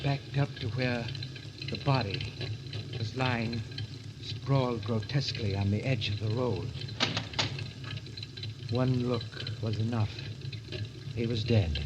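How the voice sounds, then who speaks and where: An actor playing a cold, scheming killer in a 1940s radio thriller, with an intense, smoothly condescending manner. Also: male voice, medium pitch, slow, pauses often, lightly acted. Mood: grim. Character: cold, scheming man confessing to a killing, sly and needling. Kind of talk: 1940s radio thriller acting, first-person narration and dialogue. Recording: muffled, noisy old radio recording.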